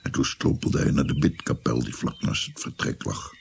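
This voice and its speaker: Husky, gravelly old grandfather. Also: extremely low pitch, steady tone, moderate pace, whispered, exaggerated voice. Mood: shaken, appalled. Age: older. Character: shaken old grandfather recounting a haunting memory. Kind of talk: radio drama narration, recollective storytelling.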